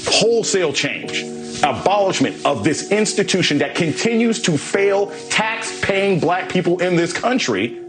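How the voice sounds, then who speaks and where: A man's voice: high voice, expressive, measured pace. A man delivering grave, emphatic news commentary. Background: music.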